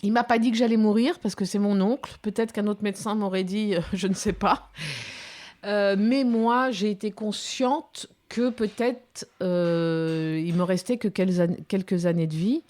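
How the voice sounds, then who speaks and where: A woman's voice: medium pitch, normal speed, a little mellow. A woman in her fifties, a documentary filmmaker, giving reflective interview answers with many hesitations and restarts. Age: 50s.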